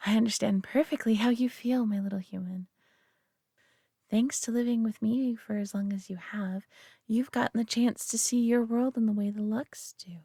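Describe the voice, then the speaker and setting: A woman's voice: a little high, no echo, clean studio sound. A woman voicing a prideful, doting alien who owns a human pet, teasing and condescending yet affectionate in an intimate audio roleplay. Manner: teasing, condescending, affectionate, often hushed and breathy.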